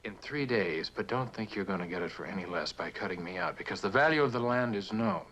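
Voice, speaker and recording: Blunt, low-voiced prospector. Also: male voice, expressive, fast, a bit bright, a little hiss or hum, low-quality recording. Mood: defiant. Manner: blunt, insistent, indignant. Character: proud, bitter mineral prospector, a man hard bargainer. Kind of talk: mid-century American television drama acting.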